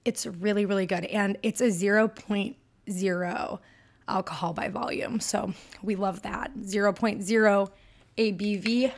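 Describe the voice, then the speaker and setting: A woman with a Michigan accent hosts her own podcast in a chatty, bubbly way. Her voice sits at a medium pitch, and her delivery stays upbeat and personal. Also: fairly even intonation, measured pace. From Michigan, USA. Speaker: podcast host. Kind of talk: solo podcast monologue.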